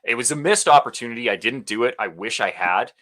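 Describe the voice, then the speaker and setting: A man's voice: mid-range voice, quick pace. A man from Alberta, a podcast guest who is an actor and speaker, telling his story animatedly in a casual interview. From Alberta, Canada. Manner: animated storyteller, bursts of laughter and acted-out quotes.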